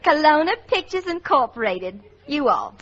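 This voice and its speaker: An actress playing a sweet, eager young Southern secretary with an exaggerated Southern US drawl in old radio comedy style. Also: female voice, sits high, sing-song, moderate pace, fairly bright tone. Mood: earnest, folksy. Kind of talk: 1940s radio comedy acting. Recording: dull old radio recording.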